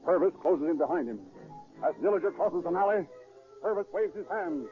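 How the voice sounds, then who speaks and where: A man's voice: high voice, fairly quick, soft background music. A man working as a radio announcer, reading scripted narration and sponsor copy in a formal, declamatory 1930s style. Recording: muffled, band-limited 1930s radio broadcast.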